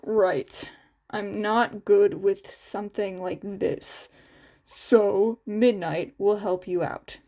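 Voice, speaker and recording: A woman voicing a tired, gruff man, a teacher in an anime story, in a deadpan, bored, terse manner. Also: mid-range voice, slow pace, pitched below the performer's own voice.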